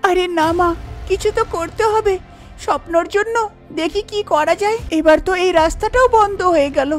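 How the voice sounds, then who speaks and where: A woman's voice: extremely high pitch, moderate pace, soft background music, voice pushed up. A woman voicing an eager, sheepish young village daughter-in-law in a cartoon, exclaiming and pleading. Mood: hopeful. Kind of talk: Bengali cartoon voice acting.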